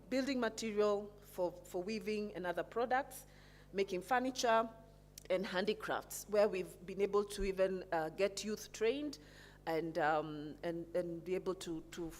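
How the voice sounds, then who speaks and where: A woman giving a slide presentation in halting, hesitant phrases. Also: lowish voice. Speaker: conference presenter. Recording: clear background noise and hum.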